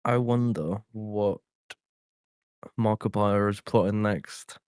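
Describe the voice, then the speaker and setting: A male co-host with a lowish voice talks casually on a film podcast. His phrasing is informal and tentative, and the recording is dry with no audible room. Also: slow pace, darkish tone, clear, resonant tone, dry recording. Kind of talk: casual chat, film discussion.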